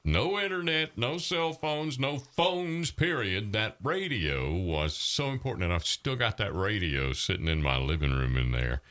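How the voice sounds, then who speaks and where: A man's voice: on the low side, expressive, unhurried, hardly any pauses, fairly bright tone. A man, chatting casually and reminiscing in a folksy, emphatic way. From rural southern US, grew up on a farm. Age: 60s. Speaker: radio veteran and podcast host.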